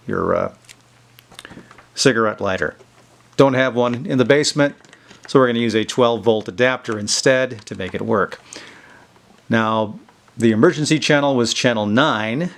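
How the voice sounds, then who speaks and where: A man hosting a casual product demonstration video, explaining things in a folksy, informal way. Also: lowish voice. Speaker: video host showing vintage electronics. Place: indoors in a basement.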